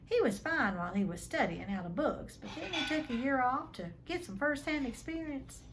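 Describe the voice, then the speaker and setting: A woman reads the part of a huge, hearty storybook man with homely, rough speech and a friendly temper. The recording is faintly noisy. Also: sits high, lively intonation, moderate pace, voice pushed up. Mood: chatty, sympathetic.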